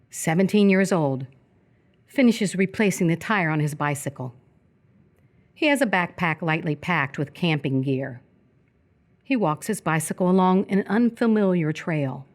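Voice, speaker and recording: A woman reading scripted narration for live radio theatre in a measured, descriptive way. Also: lowish voice.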